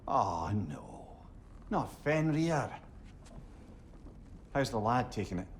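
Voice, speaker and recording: An actor playing a kindly, weary, sorrowful friend in a video game, speaking in familiar, plain words. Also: male voice, mid-range voice, big pitch swings, takes it slowly, a little hiss or hum. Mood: sorrowful, tender. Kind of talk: dramatic video game acting.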